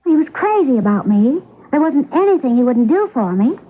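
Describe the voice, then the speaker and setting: A woman's voice: high-pitched, very animated, fairly quick, breathy edge, full character voice. A woman playing a brash, vain, self-assured young actress under suspicion in a radio mystery. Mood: defensive. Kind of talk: radio mystery drama acting. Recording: muffled lo-fi old radio broadcast.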